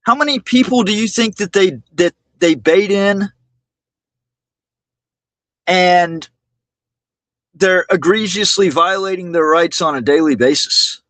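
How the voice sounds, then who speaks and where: A man hosting a livestream monologue, speaking indignantly and haltingly, often repeating his words. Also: high voice, fairly bright tone, no room sound. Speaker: livestream host.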